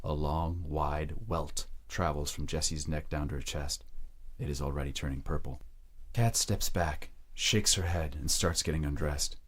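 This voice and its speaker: A man narrating an audio drama in a measured, deliberate, scripted storytelling style. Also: extremely low pitch, steady tone, fairly bright tone.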